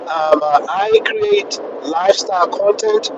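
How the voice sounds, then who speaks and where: Man from Kenya, casual live stream in a car, lively up-and-down voice, slightly high, distant dull phone audio, clear road noise. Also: slow. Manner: chatty, filler-heavy, pitch jumping up on phrases. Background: road and traffic noise.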